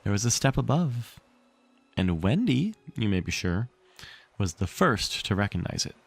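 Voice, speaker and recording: A man's voice: on the low side, big pitch swings, measured pace, creaky. A man reading a storybook aloud as a narrator. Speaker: streamer reading a book aloud.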